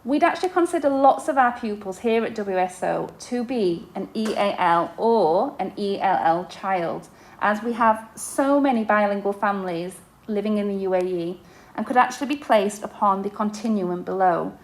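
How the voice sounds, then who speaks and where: A woman's voice: medium pitch, moderate pace. Young woman teacher, podcast-style chat for parents, lots of ums and restarts. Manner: explanatory, with frequent fillers and restarts. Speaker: inclusion teacher.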